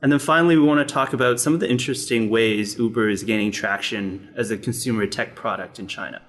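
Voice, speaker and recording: A man's voice: on the low side, fast, a bit bright. A man speaking as a podcast host, introducing the show and putting questions to a guest. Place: sit-down interview in Shenzhen.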